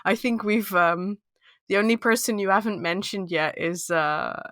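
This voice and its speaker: A woman's voice: medium pitch, moderate pace, fairly bright tone, no echo, studio quality. A woman whose first language is Dutch, co-hosting a podcast in casual chat, easygoing and teasing, chiming in briefly. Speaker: podcast co-host.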